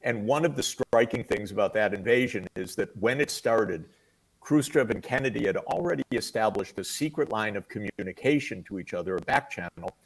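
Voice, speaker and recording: A man explaining history at length in a measured, lecturing way, heard in a played clip. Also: lowish voice, lively intonation, slightly rough, low-quality recording. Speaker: commentator in a played interview clip.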